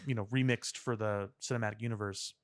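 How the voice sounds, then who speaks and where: A man co-hosting a podcast, talking pop culture casually in a measured, explanatory way with dry understatement. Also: on the low side, steady tone. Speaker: podcast co-host. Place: podcast studio.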